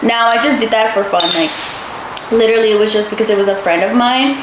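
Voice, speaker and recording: A woman's voice: fairly high pitch, fast talker. A woman in her twenties from the United States, chatting casually to camera as a channel co-host. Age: 20s. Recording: roomy echo, clear background noise.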